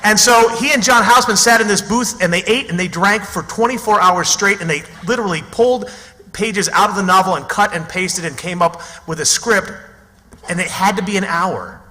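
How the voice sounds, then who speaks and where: A man's voice: high voice, normal speed, sharp tone. A man speaking as host and announcer of a live radio theatre show, introducing it from the stage. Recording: echoey theatre hall recording.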